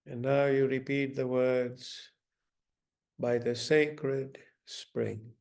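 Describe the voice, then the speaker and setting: Slow, gravelly man guiding a meditation. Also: medium pitch, flat delivery. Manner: gentle, deliberate, instructing with long pauses. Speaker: meditation guide. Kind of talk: guided meditation.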